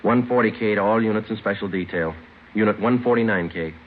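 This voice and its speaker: An American actor playing a stoic police detective sergeant, matter-of-fact and terse, in the clipped style of old radio drama. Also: male voice, on the low side, fairly quick, a little hiss or hum, close to an everyday voice. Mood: businesslike. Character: stoic, businesslike male police detective sergeant who narrates. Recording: tinny old radio broadcast, music behind.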